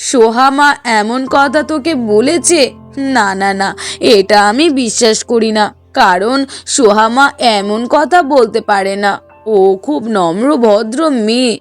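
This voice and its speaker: A woman's voice: fairly high pitch, a little slow, soft background music, natural voice. A woman voicing a kindly, patient older mother in a cartoon, soothing and reasoning. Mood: gently doubting. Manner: soothing, reasoning, mildly exasperated. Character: kindly older mother, patient, gently doubting, protective of daughter-in-law. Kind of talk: Bengali cartoon dialogue.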